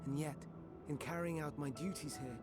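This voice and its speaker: An actor playing a gentle, melancholy, courteous scholar in a fantasy video game, soft-spoken, formal and measured. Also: male voice, a little high, fairly even intonation, close to an everyday voice. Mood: troubled, reflective. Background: game music.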